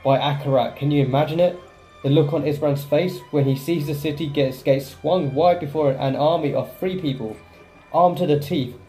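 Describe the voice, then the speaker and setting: A man with a soft-edged, slightly high voice hosts a game playthrough. He comments casually and reads text aloud, with music playing behind him. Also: normal speed. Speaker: gaming video host.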